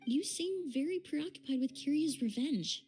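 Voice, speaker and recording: A woman voicing a calm, caring and gracious young lady in a fantasy video game, gentle and polite. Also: sits high, moderate pace, soft background music. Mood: soothing.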